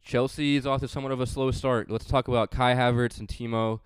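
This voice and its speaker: A man's voice: medium pitch, steady tone, quick pace, smooth, dry recording. A man hosting a casual sports podcast, chatty and joking, with frequent fillers. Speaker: podcast host.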